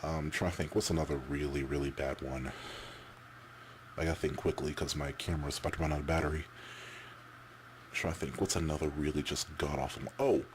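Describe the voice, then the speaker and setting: Male vlogger, deep voice, halting unscripted chat with repeated words, noisy camera-mic recording. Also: steady tone. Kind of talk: casual off-the-cuff opinion chat.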